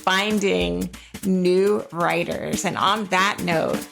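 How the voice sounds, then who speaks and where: Creaky, lower-pitched American woman co-hosting. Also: steady tone, extremely slow, fairly bright tone, faint music behind. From United States, Jacksonville, Florida. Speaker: podcast co-host. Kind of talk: casual podcast conversation.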